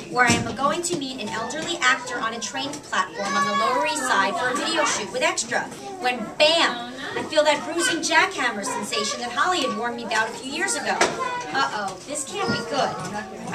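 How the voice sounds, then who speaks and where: An American woman reading her own humorous personal essay aloud at a live book event, picked up from a distance. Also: sits high. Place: public book reading in a shop. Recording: distant mic in a noisy crowded room.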